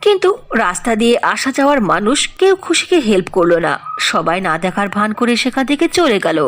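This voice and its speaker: Female narrator, mid-pitched, very lively intonation, scripted children's cartoon storytelling. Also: fairly quick, a little hiss or hum.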